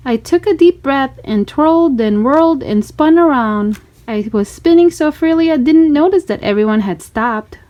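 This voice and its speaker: A woman narrating a children's storybook in an expressive read-aloud style. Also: sits high, moderate pace.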